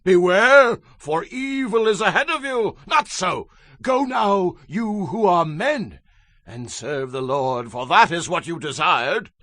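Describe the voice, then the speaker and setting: A man's voice: high voice, animated, bright, forceful tone, dry recording, full character voice. An actor playing a proud, volatile Egyptian king in a dramatized audio Bible, with theatrical, commanding delivery. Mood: scornful, menacing. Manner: theatrical, commanding, drawn-out emphatic phrases.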